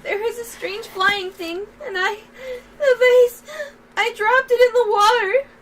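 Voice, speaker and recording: A woman's voice: very high voice, steady tone, measured pace, background noise, voice pushed up. A woman voicing a timid, frightened young girl who speaks meekly in short, hesitant phrases. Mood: scared, meek.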